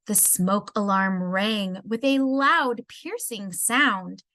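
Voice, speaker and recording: A woman reading a rhyming children's story aloud in an expressive storybook style. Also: medium pitch, slow pace, dry recording. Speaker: storybook reader.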